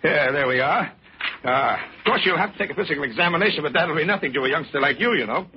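A man's voice: fairly high pitch, lively intonation, fast, natural voice. An American actor playing a glib, flustered salesman friend in an old radio drama, blustering evasively in fussy, stammering patter. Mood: glib, pushy. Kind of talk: 1940s-50s American radio drama acting. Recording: dull, noisy old radio recording.

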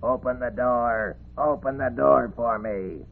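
An actor playing an ugly, demanding talking frog in a radio fairy tale, gruff and bossy, with croaking ribbits. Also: male voice, low-pitched, slow pace. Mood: gruff, impatient. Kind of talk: old-time radio fairy tale acting. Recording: dull, noisy old radio recording.

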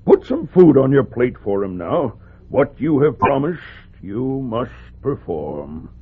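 A man's voice: medium pitch, huge pitch swings, normal speed, a little hiss or hum. An actor playing a stern, principled king and father in a radio fairy tale, admonishing in a theatrical way. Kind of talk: old-time radio fairy tale acting. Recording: tinny, muffled old radio recording.

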